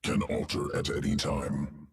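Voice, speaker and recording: A man voicing a cold, obsessive insectoid alien geneticist in a video game, speaking in clipped, telegraphic phrases with a guttural croak. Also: completely flat delivery, unhurried, background noise, heavily acted. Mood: cold, clinical. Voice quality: deep guttural gravelly croak. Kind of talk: video game character dialogue. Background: music.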